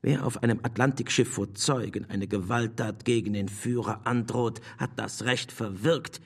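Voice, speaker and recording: An actor playing a cold, menacing Nazi minister in a radio drama, officious and clipped. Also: male voice, mid-range voice, fairly even intonation, normal speed, creaky, slightly rough. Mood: cold, threatening. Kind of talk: German radio drama acting.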